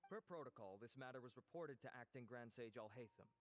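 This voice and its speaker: A man's voice: mid-range voice, animated, fairly quick, lightly acted. A man voicing a polite, formal male investigator in a game who sticks to procedure.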